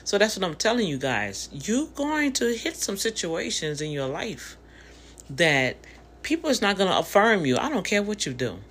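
A woman's voice: mid-range voice, very animated, normal speed, fairly bright tone, a little hiss or hum. A woman in her fifties giving a casual motivational talk, conversational and preachy, speaking straight to her listeners. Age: 50s.

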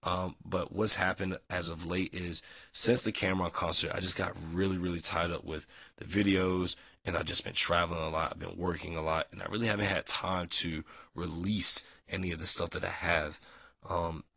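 A man's voice: deep voice, level tone, moderate pace, gravelly, bright, forceful tone, husky, no room sound, clean studio sound. A young man talking casually in an audio vlog, sick with a cold that leaves him nasal and dried out, rambling with many ums. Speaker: vlogger.

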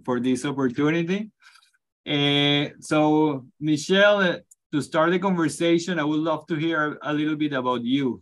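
A man's voice: medium pitch, a bit bright. A man hosting a podcast interview, asking friendly questions with many hesitations and filler sounds. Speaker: podcast host.

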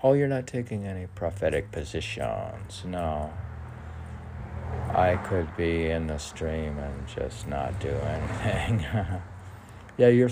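A man in a rambling solo monologue, musing and questioning himself haltingly as he shares aphoristic lines of his own poetry. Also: low-pitched, creaky, noisy background. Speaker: poet reading and commenting on own writing.

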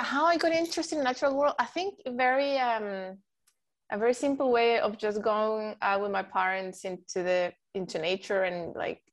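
Podcast guest: woman, 40s, Spanish native, relaxed and rambling. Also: mid-range voice. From Mexico. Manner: chatty, many fillers and restarts. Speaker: guest, marine biologist. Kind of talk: casual interview answers.

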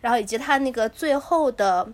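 Woman podcast host, high voice, casual book chat, keeps restarting and rewording as she goes. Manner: halting, repeats and rephrases words.

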